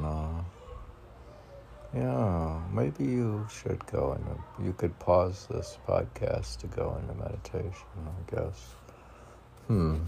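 An older man rambling through a solo podcast and poem readings, halting and musing, often questioning himself aloud. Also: lowish voice, creaky, warm tone. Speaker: poet reading own poems on a podcast.

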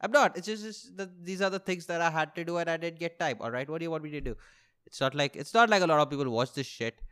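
A man's voice: a little high, fast talker, fairly bright tone, clean tone. A young man with an Indian accent rambling casually as a solo comedy podcast host, sweary and self-deprecating, with a complaining delivery. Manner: self-deprecating, sweary, repeats and rephrases himself.

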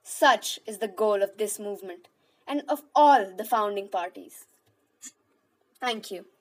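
A woman playing a grave, determined male Egyptian leader, reading a formal political speech deliberately for a radio roleplay. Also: medium pitch, close to an everyday voice, low-quality recording. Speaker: student performer in a school audio roleplay.

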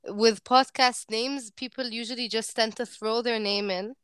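A woman asks friendly, chatty questions, padding her speech with 'like' and 'um'. Her voice is smooth and clear, and the recording is dry and close. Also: fairly high pitch, fairly even intonation, moderate pace, a bit bright, dry recording. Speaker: interviewer. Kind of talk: casual interview talk.

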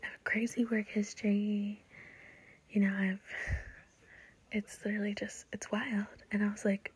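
Young female podcast host, breathy near-whisper, blunt, swears a lot, rambling and casual. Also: medium pitch, level tone, moderate pace, a little mellow. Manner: blunt, profane, rambling with many fillers. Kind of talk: casual solo podcast talk.

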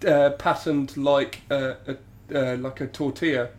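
A man's voice: medium pitch, flat delivery, a little slow, darkish tone. Stuffy-nosed man hosting a podcast. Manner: chatty, self-deprecating, many ums and restarts. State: stuffy with a cold. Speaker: podcast host. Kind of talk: casual podcast chat. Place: home studio.

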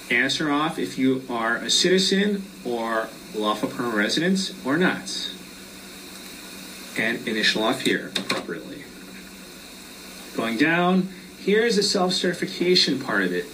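A man's voice: medium pitch, sharp tone, far from the mic, a little hiss or hum, poor audio. A man who is losing his voice, explaining loan and tax forms step by step in a casual explainer video.

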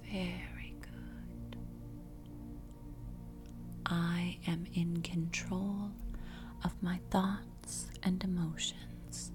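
A woman speaks in a breathy, soothing whisper as a hypnotist guiding someone to sleep. Her delivery is extremely slow and even, with long pauses and gentle repetition. Music plays clearly behind her. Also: lowish voice. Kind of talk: ASMR whispered guided hypnosis.

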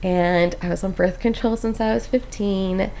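A woman's voice: medium pitch, moderate pace, gravelly, a little hiss or hum, low-quality recording. A young woman from Costa Rica, a postpartum doula, telling her story in a casual chat, full of "like" and "um". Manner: animated storyteller, many "like"s and "um"s.

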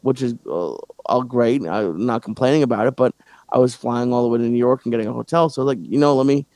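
Man, podcast host, stand-up comic, halting, restarts a lot, dry close sound. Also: medium pitch, darkish tone, dry recording. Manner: halting, many restarts and fillers. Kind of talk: casual podcast chat.

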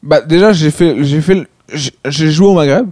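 A man's voice: on the high side, normal speed, a little mellow, no echo, studio quality. A man, a stand-up comedian, talking casually and hesitantly, with many restarts and fillers. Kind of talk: casual conversation.

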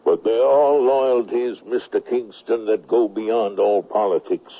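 An actor playing a dignified, steadfast Union general in a western radio drama, formal and courteous. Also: male voice, fairly low pitch, steady tone, a little slow. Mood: solemn. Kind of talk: 1970s radio drama acting. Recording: dull, noisy 1979 radio recording.

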